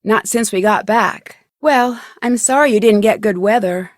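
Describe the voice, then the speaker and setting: A woman's voice: mid-range voice, unhurried, no echo, clean studio sound, lightly acted. A woman voicing a young female amateur detective in an adventure video game, polite, earnest and curious. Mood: friendly, curious. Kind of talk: scripted adventure game dialogue.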